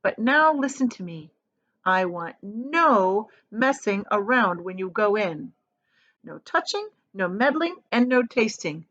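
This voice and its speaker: A woman reading aloud as an excited, proud, bossy male inventor in a storybook, with emphatic, exclamatory delivery. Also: medium pitch, takes it slowly, lo-fi sound, heavily acted. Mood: stern, commanding.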